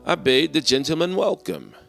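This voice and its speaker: A man playing a guilt-maddened, boastful murderer in a theatrical, deliberate dramatic reading of gothic horror. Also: on the high side, lively intonation, vocal fry, a bit bright. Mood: smug, composed. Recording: voice over background music. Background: background music.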